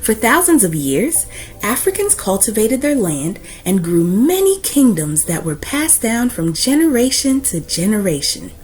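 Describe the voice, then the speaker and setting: Expressive woman reading a storybook aloud, music behind. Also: on the high side, unhurried. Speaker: read-aloud host.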